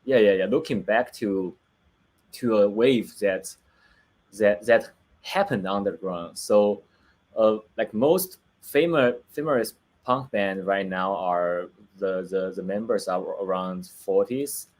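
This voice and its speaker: A man with a Chinese accent speaks slowly and haltingly, often restarting and hunting for words. His voice sits fairly low. He talks over a video call. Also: a little mellow. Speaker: film director. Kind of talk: remote interview.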